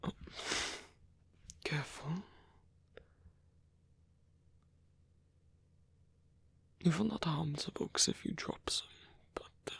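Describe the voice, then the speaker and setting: A man voicing a lonely old male vampire in an ASMR roleplay, gentle, rambling and bookish, with a soft, breathy, creaky voice and a halting, musing delivery. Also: fairly high pitch, dry recording, full character voice. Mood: flirtatious, musing. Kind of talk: ASMR roleplay audio addressed to the listener.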